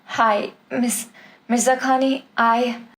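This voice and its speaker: A woman's voice: fairly high pitch, steady tone, slow pace, fairly bright tone. A woman playing a caring, anxious seventeen-year-old girl in a film script table read. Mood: nervous, polite.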